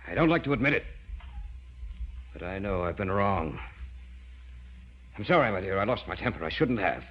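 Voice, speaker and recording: A man's voice: low-pitched, big pitch swings, normal speed, breathy edge, heavily acted. Commanding, theatrical mad-scientist father. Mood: grave, remorseful. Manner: theatrical, emphatic. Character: obsessed scientist father, stubborn, commanding, later remorseful. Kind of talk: old-time radio horror acting. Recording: dull, hissy vintage radio recording.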